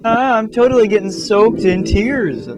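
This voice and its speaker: Man acting haughty female unicorn pony, whiny, melodramatic, indignant, raised pitch, comic storybook, music behind. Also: measured pace. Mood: upset, furious. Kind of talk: comic fan-fiction storytelling.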